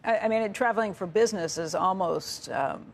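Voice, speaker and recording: A woman's voice: medium pitch, moderate pace, slight background noise. A woman putting pointed questions as an interviewer on a live TV news show.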